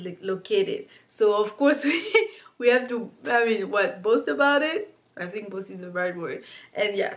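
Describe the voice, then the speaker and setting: Woman, 30s, from Congo, English, relaxed presenter talking to camera in a small studio. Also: medium pitch. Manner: chatty and warm, many fillers like "like" and "um". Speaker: beauty brand owner presenting her palette. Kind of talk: casual explanatory talk to camera. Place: indoor studio with plain pink backdrop.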